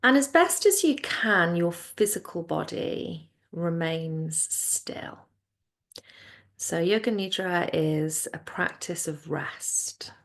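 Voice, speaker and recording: A woman leading a guided relaxation as a yoga nidra teacher, speaking in a soothing, unhurried and gentle way. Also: fairly low pitch, lively intonation.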